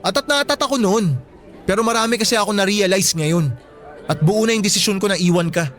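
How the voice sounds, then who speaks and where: A man's voice: high-pitched, fairly quick, a bit bright, faint music behind. A man acting a guarded, resentful teenage student ex-boyfriend in a radio drama, speaking curtly. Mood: firm, guarded.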